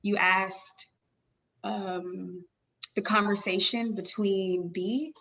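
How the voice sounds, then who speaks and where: A woman's voice: medium pitch, fairly even intonation, very slow, pushed and bright. A woman chatting casually as an actor giving feedback, on slightly lo-fi call audio. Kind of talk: casual chat.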